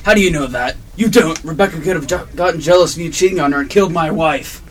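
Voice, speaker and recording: A man's voice: fairly high pitch, fast talker, close to an everyday voice. A man playing a hot-tempered, vengeful, confrontational police detective in an amateur old-time radio drama, on a noisy recording. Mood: accusing. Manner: confrontational, accusing.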